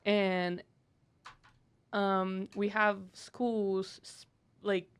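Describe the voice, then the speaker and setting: A woman's voice: medium pitch, very slow, clean tone. A young woman from Brazil chats easily as a guest, dropping fillers as she goes. Her talk stays loose and conversational. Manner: relaxed, chatty, with frequent fillers. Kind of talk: casual conversation.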